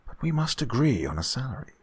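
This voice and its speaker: A man's voice: mid-range voice, very animated, fast, darkish tone, husky. A man acting a suave, gloating murderous employer in a horror radio play, with oily politeness and fussy, menacing repetition. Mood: brisk, ingratiating. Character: suave, gloating male employer who murders his housekeeper.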